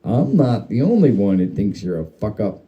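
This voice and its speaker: A man's voice: lowish voice, very animated, moderate pace, lo-fi sound, voice pushed down. A man voicing a crude, gleeful and whiny ghost who needles and taunts in a melodramatic, mock-parental way, in a comic story reading. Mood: gleeful taunting.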